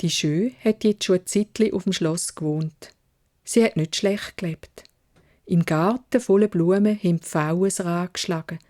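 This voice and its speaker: A woman with a Swiss German accent narrating a children's fairy tale in a measured, expressive way. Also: on the low side. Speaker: storyteller.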